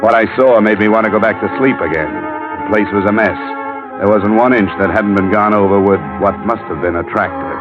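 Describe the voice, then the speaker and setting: Male actor as hard-boiled American investigator, lowish voice, deadpan, sardonic, old radio drama, dulled recording. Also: fairly quick, lightly acted. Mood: wry, narrating. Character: wry, tough, world-weary male insurance investigator. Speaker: lead actor and narrator. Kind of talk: 1950s radio detective drama acting. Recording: muffled vintage radio recording with music.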